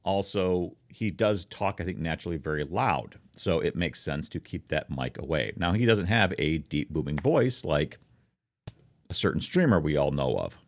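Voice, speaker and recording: A man with a deep voice talking casually and informally as a streamer, explaining things as he demonstrates them into a close microphone. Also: expressive, moderate pace, dry recording. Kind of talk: casual explainer talk to camera. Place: treated room at home. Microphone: close foam-covered microphone, slightly offset from mouth.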